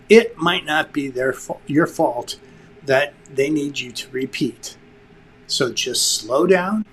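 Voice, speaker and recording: A man's voice: a little high, a little hiss or hum, rough recording. A man presenting an instructional lesson to viewers in a conversational, emphatic way, like a director explaining the basics.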